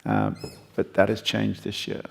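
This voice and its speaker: A man with a lowish, heavily gravelly voice full of vocal fry. He speaks as an executive introducing himself on a conference panel. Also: very animated, unhurried.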